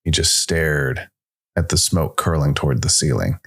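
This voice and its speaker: A man's voice: extremely low pitch, normal speed, fairly bright tone, breathy edge. A man reading a dramatic science fiction story as a scripted narration.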